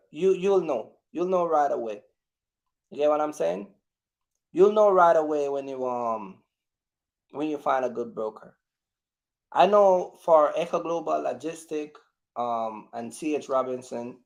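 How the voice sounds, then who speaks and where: A man hosting a casual live question-and-answer chat, speaking in a halting, repetitive way and often addressing his audience directly. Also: fairly high pitch, vocal fry, a little mellow. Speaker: livestream host.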